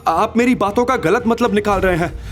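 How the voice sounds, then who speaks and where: Man voicing a firm, anxious young woman, pitched high. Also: fairly quick, lightly acted. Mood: hesitant, nervous. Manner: stern, hesitant under pressure. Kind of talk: Hindi audio drama dialogue. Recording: faint music behind.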